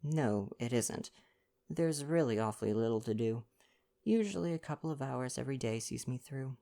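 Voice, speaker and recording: A woman's voice: a bass voice, animated, normal speed, creaky, dark tone, exaggerated voice. A woman voicing a polite, reserved young man, an ex-soldier, in a read-aloud novel. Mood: modest.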